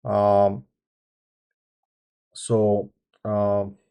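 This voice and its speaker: A man in his thirties with a Bulgarian accent, a software engineer talking to camera without a script, hesitant, with many ums and restarts. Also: lowish voice, monotone, extremely slow, vocal fry, clear, resonant tone. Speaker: software engineer channel host. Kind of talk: unscripted talk to camera.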